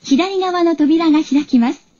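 A woman delivers scripted station announcements in a high-pitched, softly rounded voice. She is formal and polite, and the recording sounds dull. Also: measured pace. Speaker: automated train announcer.

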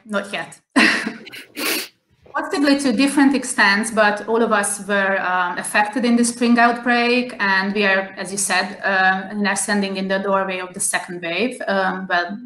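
A woman's voice: mid-range voice, fairly bright tone. A woman, hosting an online interview over a video call and speaking carefully with many fillers and restarts. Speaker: host. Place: home room, plain wall. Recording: echoey room on a video call.

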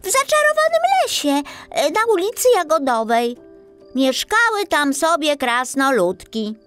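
Woman doing a sweet little storybook star girl, high and lively, music behind. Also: measured pace, exaggerated voice. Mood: cheerful. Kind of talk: children's audiobook storytelling.

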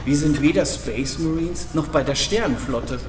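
High-voiced grumbling reluctant hero played by a man, echoey. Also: moderate pace, close to an everyday voice. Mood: grumbling, uneasy. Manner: whiny, self-deprecating, jokey. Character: nervous, grumbling reluctant young podcaster turned alien fighter. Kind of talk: amateur sci-fi comedy audio drama acting. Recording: echoey, distant sound with music behind.

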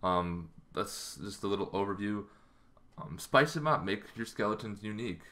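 Low-voiced man explaining casually. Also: bright, forceful tone. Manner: halting, loosely improvised delivery. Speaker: tabletop roleplaying game vlogger. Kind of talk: casual explanatory talk to camera. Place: small bedroom, indoors.

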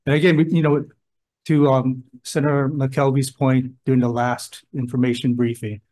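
A man's voice: medium pitch, little pitch movement, moderate pace, mellow. A man speaking as a state emergency official at a legislative hearing, explaining plans in a plain, conversational way. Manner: plain-spoken, conversational, gives examples. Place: hearing room.

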